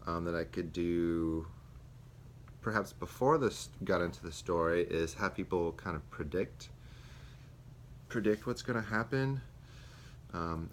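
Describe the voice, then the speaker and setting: A young man, a student teacher, reflecting aloud on a lesson he taught, with many pauses and fillers. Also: low-pitched. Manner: hesitant, many ums and restarts. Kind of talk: spoken self-reflection. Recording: faint background noise.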